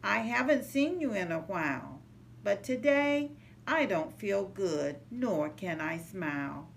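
Lively, slow-paced woman reading to children. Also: mid-range voice, gravelly. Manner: sing-song, measured rhyming delivery. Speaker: early childhood center manager reading to children. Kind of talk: animated children's picture-book read-aloud. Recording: noticeable background noise, home recording.

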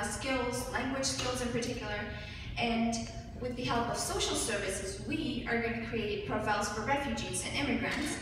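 A young woman from Ukraine giving a student pitch presentation with hesitant pauses, recorded from far off in a large hall. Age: young adult. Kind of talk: rehearsed group pitch presentation. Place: large hall with seated audience. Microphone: far audience camera. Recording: echoey hall from far off, room noise.

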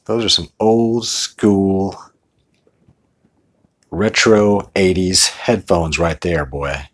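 A man's voice: sits low, steady tone, drawn-out words. A middle-aged American man talking informally and conversationally in a casual show-and-tell vlog. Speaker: video host.

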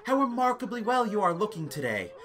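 A man voicing a sly, vengeful nobleman who coaxes with flattery and feigned concern in a story reading. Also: high-pitched, a little fast, crowd noise, voice pushed up. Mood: jovial flattery. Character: sly, falsely friendly vengeful nobleman, coaxing his victim.

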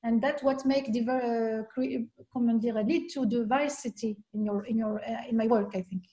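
A middle-aged woman, a guest artist speaking haltingly on a video call from her home, with many restarts. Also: a little high, steady tone, warm tone, lo-fi sound. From Algeria. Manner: hesitant, many restarts and fillers. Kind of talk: video call panel talk. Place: home room.

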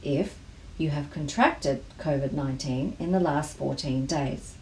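A woman reading volunteer safety rules aloud, item by item, in a measured way. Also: low voice, a little mellow. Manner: measured, list-by-list reading delivery. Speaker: volunteer safety induction presenter. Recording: roomy, echoey voice-over.

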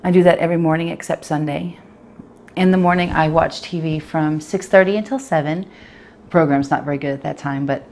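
A woman's voice: sits low, darkish tone, slight background noise, pitched below the performer's own voice. A woman performing a dramatic monologue as an anxious, self-critical professional in her late twenties, confessional and fretful, addressing the audience directly. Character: anxious, self-critical 28-year-old professional woman, obsessive about appearance.

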